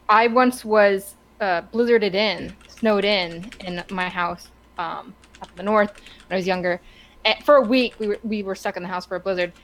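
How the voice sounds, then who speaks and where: A woman's voice: mid-range voice, a bit bright. Female co-host, mid-range voice, casual and enthusiastic, tends to ramble through her stories. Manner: chatty, rambling, enthusiastic storytelling. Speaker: co-host of a talk stream. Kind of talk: casual chat.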